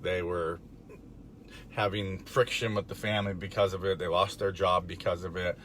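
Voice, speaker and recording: Hoarse gravelly man talking in a car. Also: deep voice, moderate pace, slight background noise. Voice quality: raspy, gravelly. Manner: relaxed, rambling with fillers and chuckles. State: hoarse from being under the weather. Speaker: recovery vlogger. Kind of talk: casual faith talk to camera. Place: parked car.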